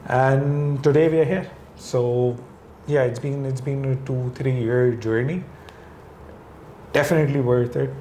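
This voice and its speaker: A man from Pakistan, a real estate business owner, talking as an interview guest in a reflective, relaxed way. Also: medium pitch, unhurried, a little hiss or hum. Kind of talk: conversational interview.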